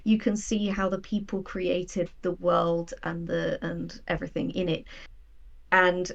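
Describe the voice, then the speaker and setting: A woman chatting casually as an interview guest, telling things in an animated, anecdotal way. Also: fairly low pitch, unhurried, gravelly, muffled recording. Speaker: guest, sci-fi writer.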